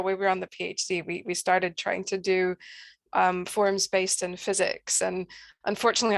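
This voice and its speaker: Female poet discussing work, medium pitch, gravelly edge, hesitant and thoughtful. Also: fairly bright tone, no room sound. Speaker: poet and co-author at a book launch. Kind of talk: informal, reflective talk. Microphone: online video call.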